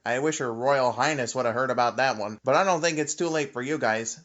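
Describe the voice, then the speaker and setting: Lady doing a sheepish henchman guy, really low voice, rambles, kinda muffled audio. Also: a little fast. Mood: gentle, hesitant. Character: dim, soft-hearted male villain's henchman. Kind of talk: parody fan audio drama acting. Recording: dull, muffled home recording.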